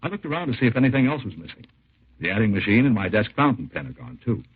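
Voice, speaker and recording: An actor playing a plainspoken, talkative used car dealer in a 1950s radio drama, with a husky voice and folksy, clipped sentences. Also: male voice, medium pitch, sing-song, fast. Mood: matter-of-fact. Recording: tinny, muffled old radio recording.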